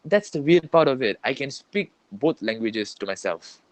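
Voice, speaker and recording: A man's voice: medium pitch, animated, moderate pace, no echo. Young man, Malaysian English accent, Tamil native, rambling podcast chat, dry close sound. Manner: rambling, repeats himself, adds "lah". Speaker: podcast co-host. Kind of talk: casual chat.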